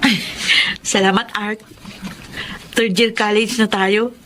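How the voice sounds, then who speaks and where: A woman's voice: medium pitch, steady tone, slow, fairly bright tone, faint music behind. A woman playing a hardworking, devout young college student in a Filipino radio drama, speaking earnestly and politely with a Filipino accent. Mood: candid.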